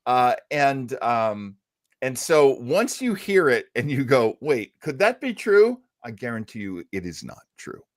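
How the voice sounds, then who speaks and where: Animated male host, close dry sound. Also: medium pitch, a little slow, dry recording. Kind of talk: casual chat introducing old radio shows.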